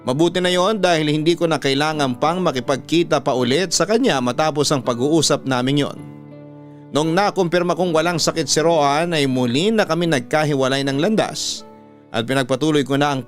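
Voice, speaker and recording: A male radio host reads a confessional story in a dramatic narrating style. His voice sits fairly high, with guitar music playing softly behind him. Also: moderate pace. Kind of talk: dramatic scripted narration of a letter.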